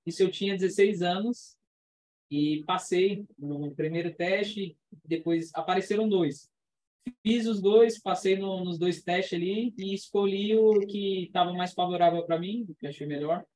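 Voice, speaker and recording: A man's voice: high-pitched, a little slow, darkish tone, poor audio. A Brazilian man aged nineteen chatting casually as a working animator. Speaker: student turned working animator. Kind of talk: casual chat.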